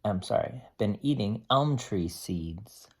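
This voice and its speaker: Slow, animated read-aloud voice for children, slightly husky. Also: sits low. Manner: explains simply to children, expressive storytelling. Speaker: teacher reading aloud to young children. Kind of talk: children's picture book read-aloud with asides.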